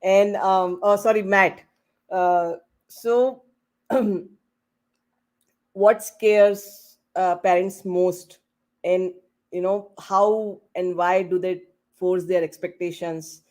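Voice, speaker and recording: A woman hosting a panel discussion on a video call, asking rambling questions in a casual, halting way with many "you know"s. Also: lowish voice, steady tone, a little mellow. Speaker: host and moderator of a panel podcast. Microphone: online video call.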